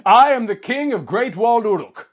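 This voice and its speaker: A man's voice: very high-pitched, expressive, normal speed, pitched above the performer's own voice. Man acting a grief-stricken warrior king, muffled recording. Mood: proud, urgent. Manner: impassioned, lamenting declamation.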